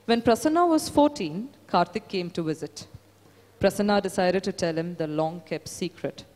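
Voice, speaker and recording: A reader, reading a literary story aloud at a book event in a measured, steady way. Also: medium pitch. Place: event hall. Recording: long hall reverb.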